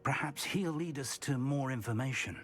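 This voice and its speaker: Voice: mid-range voice, normal speed, close to an everyday voice. An actor playing a shrewd, composed professor in a fantasy game, articulate and dry. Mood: resolute, wry. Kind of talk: dramatic fantasy video game acting.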